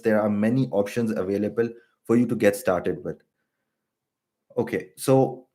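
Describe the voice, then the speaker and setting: Thirties man from Pakistan, finance YouTuber; low voice, hesitant, filler-heavy but steady explanations. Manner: hesitant, many ums and uhs, steady and explanatory. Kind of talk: live-stream explainer with viewer Q&A.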